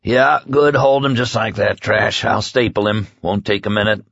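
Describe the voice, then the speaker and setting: A man's voice: lowish voice, fairly even intonation, moderate pace, gravelly, fairly bright tone, husky, no echo, dull audio, heavily acted. Man playing a casual, businesslike executioner. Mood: casual, matter-of-fact.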